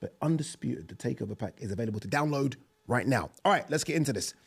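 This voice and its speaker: A man, hosting a casual boxing chat podcast in a studio, animated and quick to laugh. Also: mid-range voice, gravelly, slightly rough. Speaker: podcast host. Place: studio set with dark curtains. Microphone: desk mic on boom arm.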